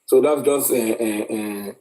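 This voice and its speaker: A Nigerian man speaks in a mellow, relaxed voice of middling pitch. He argues his points as commentary, pausing on fillers and repeating words as he goes. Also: rough recording. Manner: frequent hesitations and repeated words. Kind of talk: opinionated commentary.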